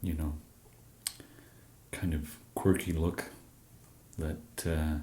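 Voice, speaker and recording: An English man in an ASMR roleplay as a shop genius, speaking softly and hesitantly with many fillers and a dry, self-deprecating humour. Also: extremely low pitch, flat delivery, very slow, echoey room. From England.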